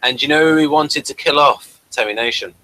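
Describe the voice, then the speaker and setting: A man hosting a casual review chat, explaining things in a halting way and often restarting mid-thought. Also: medium pitch, pushed and bright, dry recording, poor audio. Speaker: podcast host.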